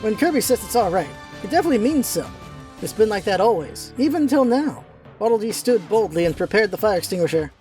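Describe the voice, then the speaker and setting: A woman narrates a radio play from a script. Her voice is soft-edged and mellow at an average pitch, in a storybook reading style. Faint music runs behind her. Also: expressive, fast talker.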